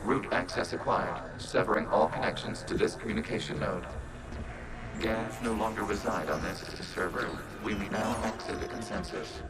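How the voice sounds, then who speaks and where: An actor playing a calm, logical robot with a collective mind, speaking formally through synthetic processing in a video game cutscene. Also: male voice, low voice, heavy background noise. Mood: matter-of-fact. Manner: formal, measured, detached, speaks of itself as "we".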